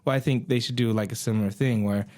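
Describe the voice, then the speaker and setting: A man's voice: mid-range voice, steady tone, quick pace, darkish tone. A man chatting casually with others as a podcast host, moving the discussion along. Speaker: podcast host reading out a list.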